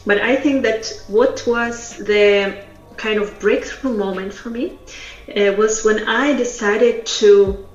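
A woman from Russia, a public speaking trainer telling her story as an interview guest, slow and thoughtful with many hesitations. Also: mid-range voice, steady tone. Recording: roomy echo, dull lo-fi sound, faint music.

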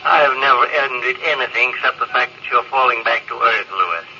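A man's voice: medium pitch, fairly quick, natural voice. An actor playing a candid, disillusioned spaceman in an old radio drama, blunt, sardonic and weary in manner. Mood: cutting, candid. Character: candid, resigned, bitterly disillusioned spaceman, male. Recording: muffled old radio broadcast, faint hiss.

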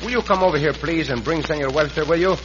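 An actor playing a gallant Mexican cowboy adventurer with a courteous, formal way of speaking in an old-time radio western. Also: male voice, on the high side, quick pace, close to an everyday voice. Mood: earnest. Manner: courteous, formal, avoids contractions. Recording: noisy, muffled vintage radio broadcast.

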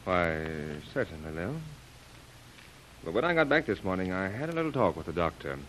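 An actor playing a concerned, commanding friend, earnest and emphatic in 1930s radio drama style. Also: male voice, deep voice, sing-song, moderate pace, fairly bright tone, low-quality recording. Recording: hissy vintage radio recording.

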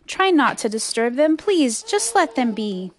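Woman voicing an earnest, high-voiced gentle elephant. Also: very animated, measured pace, a little hiss or hum. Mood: earnest, pleading. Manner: pleading, sincere. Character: gentle, earnest, protective elephant, pleading and determined.

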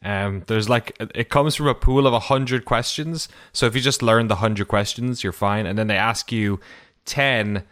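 A man's voice: fairly low pitch, normal speed, a bit bright. A man, co-hosting a podcast in casual chat, joking and laughing often. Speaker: podcast co-host.

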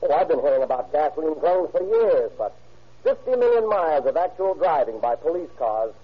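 An actor playing an eager, talkative motorist at a gas station in a scripted radio ad. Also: male voice, a little high, big pitch swings, fairly quick, slightly rough. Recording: muffled old radio.

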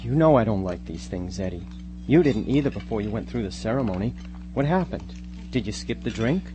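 A man's voice: lowish voice, expressive, brisk, background noise, lightly acted. A man playing an anxious, doubting teenage boy in a radio drama, fretful and full of pleading questions. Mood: suspicious. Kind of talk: live amateur radio drama acting.

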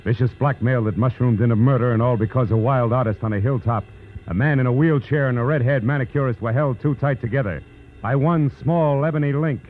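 An American actor playing a cynical, world-weary private detective in a 1940s radio noir drama, terse and tough-talking. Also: male voice, mid-range voice, a little fast, narrow, tinny audio, slight background noise, natural voice. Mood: grim, stern. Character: hard-boiled, world-weary male private detective narrator. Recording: band-limited old radio recording with music.

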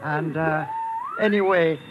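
An actor playing a suave, gloating guerrilla mastermind who speaks with formal, smug politeness in an old radio drama. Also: male voice, on the high side. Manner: formal, measured, smugly polite. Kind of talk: 1950s radio drama acting. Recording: muffled, noisy vintage radio recording.

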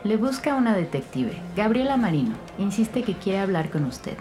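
A woman's voice: mid-range voice, very animated, moderate pace, dark tone, faint music behind, natural voice. A woman playing a polite, deferential office secretary, courteous and formal, in an audio drama.

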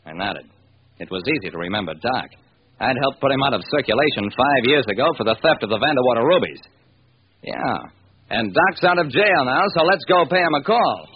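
Driven police detective narrator male actor, fast and wry, vintage radio crime serial with heavy hiss. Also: medium pitch, animated, close to an everyday voice. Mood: wry, determined. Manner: hard-boiled, wry. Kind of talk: old-time radio crime drama narration. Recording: dull, noisy vintage radio recording.

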